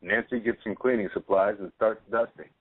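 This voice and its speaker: A man's voice: on the low side, fairly even intonation, fairly quick, no room sound. A man narrating a play script, reading out the stage directions. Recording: tinny phone-line audio.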